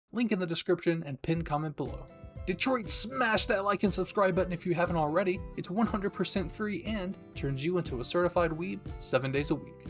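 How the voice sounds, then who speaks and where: High-pitched man giving an upbeat video sign-off. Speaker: roleplay channel creator.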